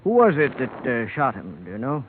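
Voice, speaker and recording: An American actor playing a grave, businesslike doctor in an old radio drama, clinical and formal. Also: male voice, medium pitch, huge pitch swings, brisk, narrow, tinny audio. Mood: apologetic. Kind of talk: 1950s radio drama acting. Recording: noisy, band-limited vintage radio broadcast.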